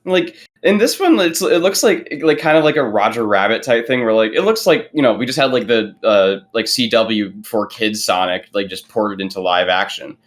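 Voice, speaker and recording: A man's voice: fairly low pitch, animated, normal speed, hardly any pauses. A man chatting casually on a podcast panel, rambling along and leaning heavily on filler words. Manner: rambling, filler-heavy with frequent "like". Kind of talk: casual chat.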